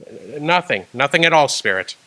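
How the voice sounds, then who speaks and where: An actor playing an old miser who is by turns remorseful and delighted, halting and wavering in radio drama acting. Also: male voice, mid-range voice, lively intonation, pushed and bright, rough recording. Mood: earnest, hesitant. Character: old miser man, remorseful, reliving his youth.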